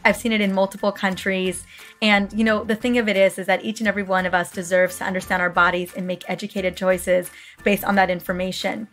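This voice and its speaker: Hoarse, gushing woman podcast host. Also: mid-range voice, flat delivery, a little fast, a bit bright, faint music behind. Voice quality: raspy. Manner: effusive, gushing praise. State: hoarse from a book tour. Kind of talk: enthusiastic podcast interview.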